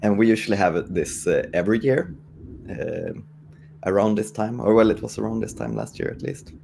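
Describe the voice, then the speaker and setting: Man, Swedish accent, very low pitch, casual developer chat, faint background noise. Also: lively intonation, fairly quick, gravelly, a little mellow. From Sweden. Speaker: guest developer promoting a game jam.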